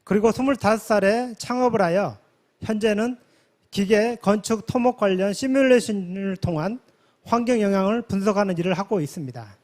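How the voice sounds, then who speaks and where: High-pitched man giving a formal testimony. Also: normal speed, a little mellow. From South Korea, from a village near Yeosu. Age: 40s. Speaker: conference member giving a testimony.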